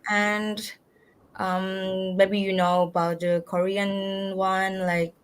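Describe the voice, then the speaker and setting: A young woman from Indonesia with an Indonesian accent. She is a guest in a casual online interview over a video call and speaks halting English full of "like" and "um". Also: medium pitch, little pitch movement, smooth. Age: young adult. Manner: halting English, frequent "like" and "um" fillers. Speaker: guest student.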